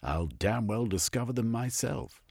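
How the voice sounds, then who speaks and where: A man's voice: mid-range voice, animated, unhurried, gravelly, a little mellow, breathy edge, no echo, pitched above the performer's own voice, heavily acted. Brief: cocky upper-class adventurer, read by a male narrator, pompous and breezy, dry close sound. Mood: jaunty. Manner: jaunty, upper-class bluster. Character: pompous, bluff gentleman explorer.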